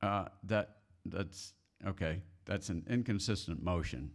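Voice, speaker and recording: A man with a low, deep voice chairs a meeting. He explains procedure informally and haltingly, doubling back to rephrase as he goes. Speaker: meeting moderator.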